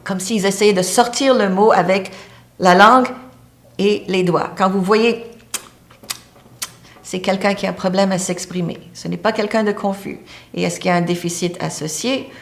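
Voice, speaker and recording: A woman's voice: mid-range voice, fairly even intonation, moderate pace, gravelly. A woman lecturing on a medical topic, explaining step by step and pointing to a diagram. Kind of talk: teaching lecture.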